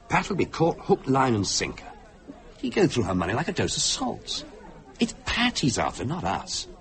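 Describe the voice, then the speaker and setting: An actor with a British accent playing a protective, irritable husband in a radio drama, insistent and exasperated. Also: male voice, medium pitch. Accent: British English.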